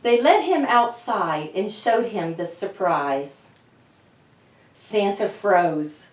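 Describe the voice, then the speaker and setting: A woman author reading a storybook aloud for children, speaking deliberately and addressing young listeners directly. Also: medium pitch, animated. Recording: echoey room, slightly distant mic.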